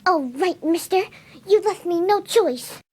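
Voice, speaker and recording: Super high soft shy cartoon pony lady, gentle n pleading, kinda mellow. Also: expressive, measured pace. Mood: playfully resolute. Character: timid, kind-hearted female cartoon pegasus pony caretaker.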